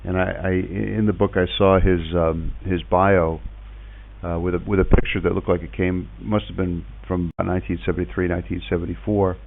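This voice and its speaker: Tinny narrow-band link, middle-aged man, low gravelly voice, halting, casual radio storytelling. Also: little pitch movement, a little hiss or hum. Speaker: podcast host and ham radio operator. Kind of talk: casual radio chat, reminiscing.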